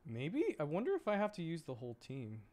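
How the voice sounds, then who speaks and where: A man's voice: a little high, huge pitch swings, a little fast, a little mellow. A young adult man giving casual live commentary as he plays a video game, muttering to himself and exclaiming in frustration. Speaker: streamer playing a video game.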